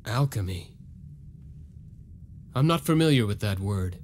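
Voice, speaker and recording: An actor playing a noble young vampire hunter in a video game, speaking in an earnest, solemn and formal way. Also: male voice, fairly low pitch, lively intonation, a little slow, darkish tone, a little hiss or hum. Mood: puzzled. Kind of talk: video game cutscene voice acting.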